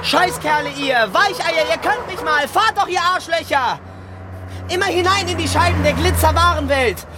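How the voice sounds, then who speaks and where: A woman's voice: very high-pitched, moderate pace, background noise. An actress playing a wild, foul-mouthed woman ranting theatrically in a radio drama. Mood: furious, exalted.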